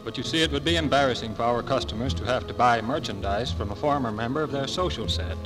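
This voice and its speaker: A man's voice: medium pitch, fast talker, natural voice, rough recording. A man playing a polite, snobbish store manager, formal and condescending, in a 1940s radio drama. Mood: politely dismissive. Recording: old radio broadcast under music.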